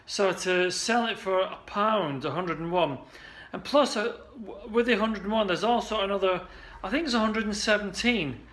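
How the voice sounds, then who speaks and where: Upbeat man pitching to camera. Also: normal speed, hardly any pauses, fairly bright tone. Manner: chatty and upbeat, with many restarts and "uh"s. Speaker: salon marketing coach. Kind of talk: casual direct-to-camera pitch. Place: indoor studio office.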